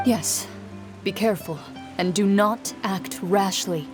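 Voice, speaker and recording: A woman playing a cool, dutiful female duelist in a video game, speaking in a formal, measured, restrained way. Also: medium pitch, over music, natural voice. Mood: reserved.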